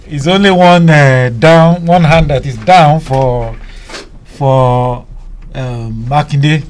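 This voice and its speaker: A man's voice: on the high side, takes it slowly, soft background music. A male radio host talking casually, with many fillers and restarts.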